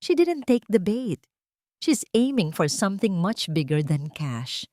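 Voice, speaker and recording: A woman's voice: medium pitch, big pitch swings, a little slow, darkish tone, no room sound, studio quality. Woman voicing a cold, arrogant rich man. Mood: cold suspicion. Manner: cold, calculating.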